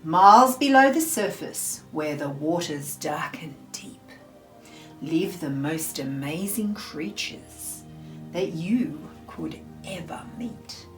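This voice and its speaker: Female children's storyteller, unhurried and very expressive, roomy sound with faint music. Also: fairly low pitch. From Australia. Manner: deliberate, theatrical, addressing small children. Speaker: storyteller, school librarian. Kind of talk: expressive children's picture book reading.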